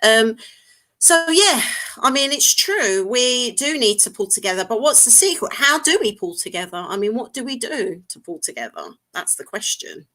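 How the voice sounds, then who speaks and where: Woman, from North London, talk host, chatty, bright forceful tone. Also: fairly high pitch, sing-song, moderate pace. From North London, England. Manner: chatty, laughs often, reads out viewer comments. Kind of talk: casual discussion.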